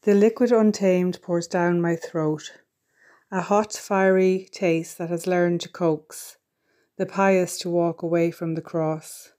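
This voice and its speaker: A woman, a poet, introducing herself and then reciting her own poem in a slow, deliberate way. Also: on the low side, steady tone, warm tone. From Ireland. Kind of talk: poetry reading.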